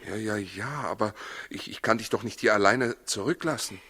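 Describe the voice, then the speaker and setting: Husky, forceful, worried husband. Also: male voice, on the low side, moderate pace. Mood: anxious. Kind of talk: 1960s German radio play acting.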